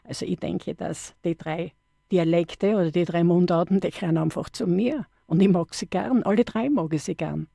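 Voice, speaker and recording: Husky-toned Austrian woman, dark timbre. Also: lowish voice, normal speed, no echo, clean studio sound. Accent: Austrian German. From Upper Austria. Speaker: studio guest, dialect poet. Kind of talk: radio interview.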